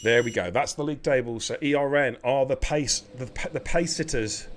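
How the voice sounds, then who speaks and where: A man with a slightly croaky voice commentating a live sim race, chatty and given to repeating himself. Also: mid-range voice, a little fast, slight background noise. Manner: chatty, laughs easily, stumbles and repeats words. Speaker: lead sim racing commentator.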